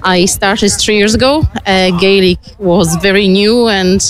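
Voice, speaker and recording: A woman's voice: mid-range voice, unhurried. A woman from Poland with a Polish accent, a team player speaking English earnestly while searching for words. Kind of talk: casual interview.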